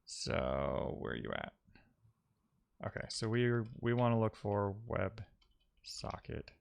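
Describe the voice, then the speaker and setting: A man with a fairly low, clean and resonant voice talks through code on a live stream. He thinks out loud casually, muttering to himself as he troubleshoots and dropping wry little asides. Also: sing-song, takes it slowly, gravelly. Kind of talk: casual thinking-aloud tech commentary.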